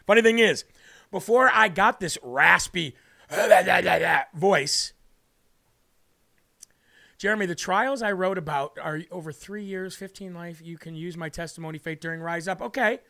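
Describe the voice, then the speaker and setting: A man with a raspy voice hosting a faith livestream, preaching and reading viewer comments aloud, often repeating phrases for emphasis. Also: sits high, a little slow, sharp tone. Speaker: host of a faith livestream show.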